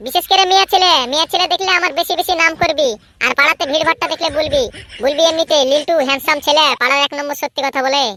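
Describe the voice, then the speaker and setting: Shrill, fast-talking cocky cartoon boy. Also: steady tone, sharp tone. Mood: bossy. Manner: bragging, bossy, wheedling. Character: boastful, sly village boy Liltu flaunting stolen cash. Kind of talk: comedy cartoon dub.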